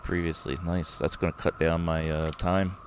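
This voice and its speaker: A man giving casual let's-play commentary, thinking aloud and rambling with fillers as he goes, his voice going a bit hoarse. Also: sits low, flat delivery, normal speed, noisy background. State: losing his voice. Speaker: gameplay commentator.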